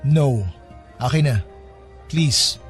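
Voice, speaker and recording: Casting: curt, superior young Filipino male law student, slightly high voice, radio drama. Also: drawn-out words, soft background music. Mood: stern, curt. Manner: curt, formal, code-switching. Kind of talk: Tagalog radio drama acting.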